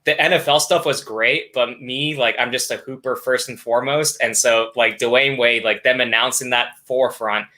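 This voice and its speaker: A man's voice: medium pitch, fairly even intonation, moderate pace, hardly any pauses, pushed and bright. A young man from South Florida, a guest streamer talking casually and upbeat with lots of fillers. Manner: easygoing, filler-heavy, upbeat. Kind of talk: casual live stream chat.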